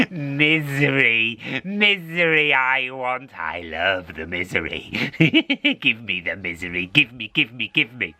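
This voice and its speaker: A man's voice: medium pitch, very animated, extremely slow, long pauses, sharp tone, heavily acted. Guy doing a greedy gleeful cat from a kids story, wheedling, giggly, swoops way up and down. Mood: gleeful greed.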